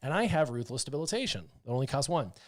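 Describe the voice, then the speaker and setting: A man's voice: medium pitch, fast. Chatty man explaining game strategy. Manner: chatty, excitable, full of fillers like "um" and "like". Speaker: streamer playing a mobile game. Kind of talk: casual livestream commentary.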